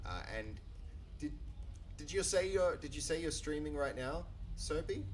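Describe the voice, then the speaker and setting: A man's voice: a little high, animated, moderate pace, soft background music. A man live-coding on a stream, chatting casually and rambling with lots of fillers, restarts and easy chuckles. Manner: easygoing, chuckles often.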